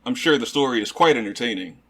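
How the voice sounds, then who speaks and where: A man's voice: medium pitch, lively intonation, quick pace, fairly bright tone, husky. A man playing a tabletop roleplaying game, speaking casually and conversationally in character.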